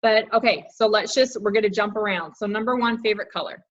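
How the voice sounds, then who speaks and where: Female podcast-style host, informal and affirming, mid-pitched, on a slightly muffled recording. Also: fast talker. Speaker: interview host. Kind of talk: casual quick-question chat. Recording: slightly dull sound.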